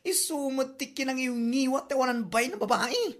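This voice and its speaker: A woman acts out a gossipy neighbor with an Ilocano accent. She chatters about the neighbors' troubles in a somewhat high voice. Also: lively intonation, normal speed. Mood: gossiping.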